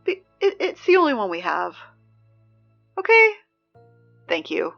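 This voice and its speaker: A woman's voice: fairly high pitch, huge pitch swings, unhurried, vocal fry, highs cut off, soft background music, full character voice. A woman playing a sarcastic, exasperated teen announcer. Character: exasperated teenage girl reading school announcements. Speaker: school announcer. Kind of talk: audio drama reading school announcements.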